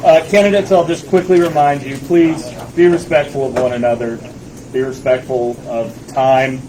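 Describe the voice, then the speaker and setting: A man with a loud voice moderating a candidate forum, giving firm, brisk instructions. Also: medium pitch, fairly even intonation, crowd noise. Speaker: debate moderator. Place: small meeting room. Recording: noisy room.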